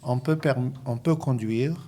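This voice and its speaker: Older man with an Israeli accent, volunteer advisor; measured, hesitant explainer of a middle pitch. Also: dark tone, a little hiss or hum. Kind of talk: interview answers.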